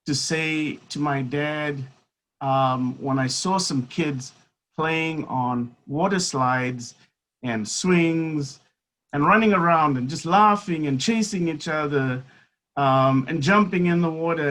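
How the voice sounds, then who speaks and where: A man in his fifties from Africa, telling his personal story as a guest speaker in a measured, unhurried way with frequent pauses. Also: a little high, fairly bright tone, rough recording. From South Africa. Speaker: guest speaker, seminary academic. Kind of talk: reflective personal storytelling in conversation.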